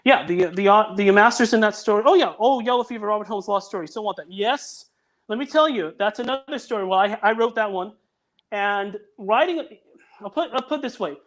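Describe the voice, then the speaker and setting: Earnest man talking fast with many restarts. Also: high voice, fairly bright tone. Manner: earnest, restarts and repeats words often. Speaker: audio drama producer and guest. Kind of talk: casual podcast chat.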